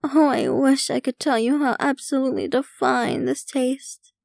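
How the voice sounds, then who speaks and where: A woman's voice: sits high, fairly even intonation, moderate pace, creaky, darkish tone, no echo, clean studio sound. A woman playing a possessive, rich yandere vampire girlfriend in an ASMR roleplay, speaking to the listener in a theatrical, doting, gushing manner. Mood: delighted, gushing. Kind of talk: ASMR roleplay, scripted intimate monologue to listener.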